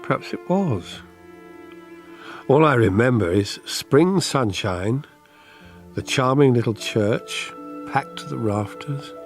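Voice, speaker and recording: An actor playing a bewildered, pleading middle-aged British husband whose wife has vanished, in a radio drama. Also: male voice, medium pitch, animated, takes it slowly, pauses often, soft background music. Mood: wistful, brooding. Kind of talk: BBC radio drama acting.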